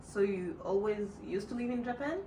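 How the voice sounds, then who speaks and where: A woman's voice: medium pitch, normal speed, dark tone. A woman from France with a French accent, hosting a casual interview in English, friendly and laughing often. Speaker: host. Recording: echoey room, distant mic, faint noise.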